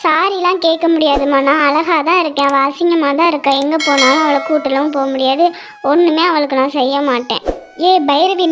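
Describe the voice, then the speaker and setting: A woman plays a conceited cartoon girl with a piping, very high voice. She is stubborn and cheeky, full of admiration for herself. Light music runs behind. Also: a bit bright. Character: vain, stubborn elder daughter, young girl.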